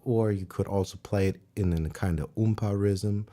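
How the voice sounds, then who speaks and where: A man whose first language is German, teaching piano on a livestream, relaxed and pausing to search for words. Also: lowish voice. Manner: relaxed, searching for words, sings and counts along. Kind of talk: livestream music lesson. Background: piano playing.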